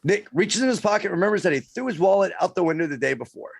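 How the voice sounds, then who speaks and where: A man reading scripted stage directions as a show's sidekick, with a gravelly, raspy voice. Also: high voice, animated, fast, no room sound. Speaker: sidekick host reading narration and bit parts.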